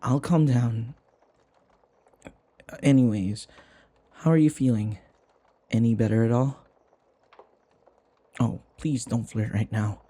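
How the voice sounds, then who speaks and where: Man playing a breathy, stammering, submissive servant. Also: medium pitch, slow, pauses often, warm tone. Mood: gentle, worried. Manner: stammering, hesitant, apologetic. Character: flustered, submissive young male servant devoted to his vampire mistress. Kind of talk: intimate boyfriend audio roleplay acting.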